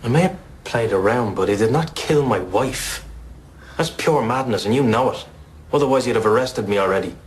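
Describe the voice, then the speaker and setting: An actor playing an indignant, combative British husband under police interrogation in an audio drama, with a husky voice. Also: male voice, medium pitch, normal speed, natural voice. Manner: combative, defensive.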